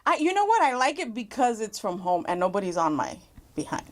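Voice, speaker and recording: A woman guest from Mexico, chatting casually in an interview, animated and laughing often. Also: mid-range voice, brisk. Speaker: guest actress.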